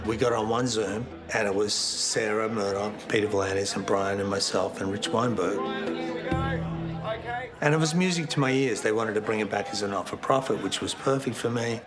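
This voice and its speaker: A man with a low voice talks about himself in a reflective documentary interview. Music plays clearly underneath him as he speaks. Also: moderate pace.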